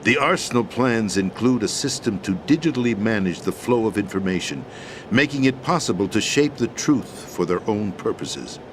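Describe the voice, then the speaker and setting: An actor playing a commanding yet desperate middle-aged deposed president in a video game, speaking with solemn, deliberate authority. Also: male voice, on the low side, lively intonation, background noise, close to an everyday voice. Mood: grave, urgent. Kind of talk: dramatic video game acting. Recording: loud music over the voice.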